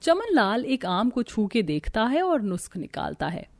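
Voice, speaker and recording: A woman's voice: on the high side, big pitch swings, a little slow, faint music behind. A woman narrating a scripted cartoon village family story.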